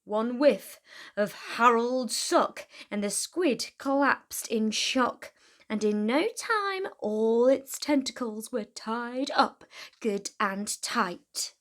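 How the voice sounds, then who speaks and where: A woman reading a children's storybook aloud expressively, with dramatic, deliberate emphasis on key words. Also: a little high, animated.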